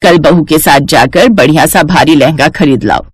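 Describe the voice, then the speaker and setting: A woman's voice: sits low, moderate pace, slightly rough, dry recording, voice pushed down. A woman voicing an elderly, commanding village mother-in-law in a cartoon family story. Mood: insistent.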